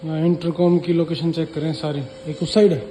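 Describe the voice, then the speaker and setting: Guy w/ ish doing a casual work vlog, kinda high voice, noisy location sound. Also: flat delivery, a little fast, mellow. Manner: informal, chatty, explaining as he works. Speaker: vlogger and CCTV installer. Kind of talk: casual vlog talk addressed to viewers.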